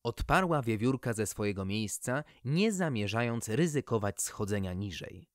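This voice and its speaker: A narrator reading a scripted children's story in an expressive, measured manner. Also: medium pitch, no room sound, studio quality.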